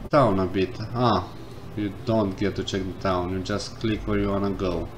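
A man commenting casually on a game as he plays, reading the game text aloud and thinking out loud. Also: low voice, fairly even intonation, moderate pace. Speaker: gameplay streamer. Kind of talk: casual let's-play commentary. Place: indoors at a computer. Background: game music.

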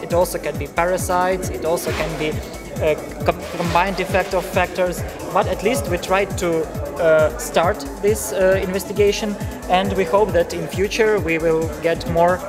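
A man in his twenties with a Ukrainian accent, explaining research to camera in a halting, hesitant way with frequent fillers and restarts. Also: high-pitched. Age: 20s. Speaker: researcher presenting a scientific poster. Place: large indoor conference poster hall.

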